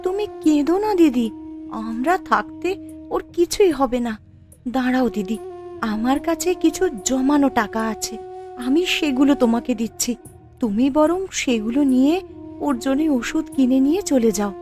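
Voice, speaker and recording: Woman acting high-pitched, tender young village woman. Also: animated, normal speed. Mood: caring, reassuring. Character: young village women, tender-hearted sisters and daughters in a family drama. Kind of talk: melodramatic cartoon voice acting. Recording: music behind the voice.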